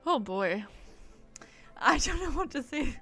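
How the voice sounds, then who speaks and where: A woman with a fairly high voice comments casually as she streams a game. She reacts with wry, sarcastic asides over faint game music. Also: very animated, slow pace, fairly bright tone.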